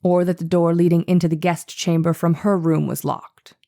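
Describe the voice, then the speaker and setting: Probing courtroom attorney, female actor, formal and insistent, low relaxed voice, measured trial-transcript delivery. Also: vocal fry. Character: probing, persistent male defense attorney cross-examining a witness. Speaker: actor reading a lawyer in a trial re-enactment. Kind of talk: dramatized trial transcript reading.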